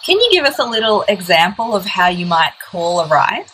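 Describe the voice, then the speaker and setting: A woman's voice: mid-range voice, big pitch swings, a little fast, a bit bright, a little hiss or hum, low-quality recording. An Australian woman hosting a casual online chat with an upbeat questioning manner. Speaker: host.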